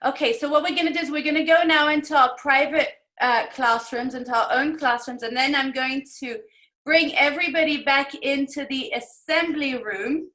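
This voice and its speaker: A woman hosts an online school assembly with a high, bright voice. She gives children clear step-by-step instructions and keeps prompting them to respond, as a teacher does. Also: moderate pace. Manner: instructing, prompting listeners to answer. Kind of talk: leading children in an online lesson.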